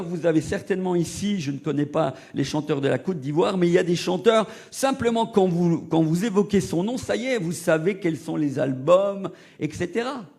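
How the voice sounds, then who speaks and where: Middle-aged guy preaching his testimony, fairly high voice, gets loud then drops real quiet. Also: creaky. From Portugal. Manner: swings from loud emphatic peaks to hushed low asides. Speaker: evangelist preacher. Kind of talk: sermon with personal testimony.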